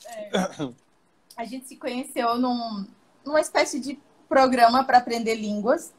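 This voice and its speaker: A woman's voice: a little high, a little slow. A woman tells her story casually to an interviewer, often laughing. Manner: chatty, laughs while telling stories. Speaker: interview guest. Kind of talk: casual storytelling on a video call.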